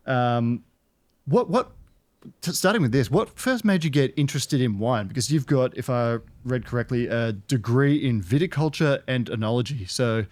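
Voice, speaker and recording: Male podcast host, mid-pitched, friendly and easygoing interviewer. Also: no room sound. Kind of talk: casual interview chat.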